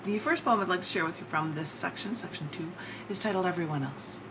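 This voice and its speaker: Low-voiced woman poet, noisy recording. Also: fairly quick. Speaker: poet reading own work. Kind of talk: live poetry reading with chatty introductions.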